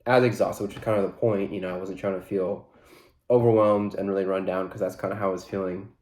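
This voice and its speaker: A man's voice: low-pitched, quick pace, echoey room. A man hosting his own fitness podcast, talking in a loose, rambling way with lots of fillers, his voice stuffy and congested from a blocked nose. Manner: relaxed, rambling, many fillers. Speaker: podcast host. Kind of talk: casual solo podcast talk.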